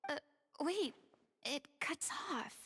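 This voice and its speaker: High-voiced, theatrical young lady. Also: animated, slow, a bit bright, dry recording, heavily acted. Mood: grave, intent. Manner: declamatory, commanding, formal. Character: proud, theatrical young woman, stern yet caring. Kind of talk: video game character dialogue. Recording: music behind the dialogue.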